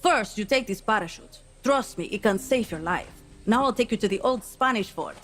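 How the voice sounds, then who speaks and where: A woman's voice: medium pitch, expressive, fairly bright tone, natural voice. An actress playing a tough, wry female rebel and former volunteer soldier who briefs a partner on a mission in a video game. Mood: brisk. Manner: brisk, instructive, wry. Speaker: guide giving mission briefings. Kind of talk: video game cutscene acting.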